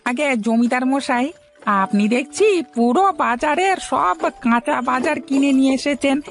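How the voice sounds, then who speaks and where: A cheeky cartoon cart driver with a very high, gravelly voice. He teases his master with a giggle behind every word, while music plays under him. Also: moderate pace. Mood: teasing. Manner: teasing, giggling.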